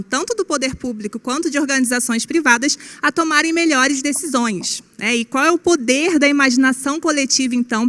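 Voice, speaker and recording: A woman's voice: high voice, a bit bright. A woman moderating an online panel discussion in a friendly, conversational way, often tagging phrases with casual fillers.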